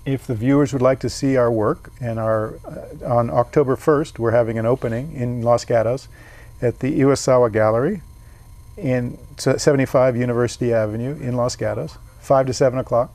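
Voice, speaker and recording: A man's voice: mid-range voice, brisk, a little mellow, dry recording. A man, a painter being interviewed, talking things through thoughtfully with frequent restarts. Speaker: painter and guest. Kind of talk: casual interview.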